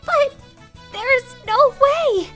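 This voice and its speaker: Lady doing a squeaky stubborn teen girl, pouty, bouncy voice, music going under it. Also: lively intonation, extremely slow, pushed and bright, heavily acted. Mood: startled. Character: sulky proud teen schoolgirl in wheelchair. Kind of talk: fan dub of a visual novel.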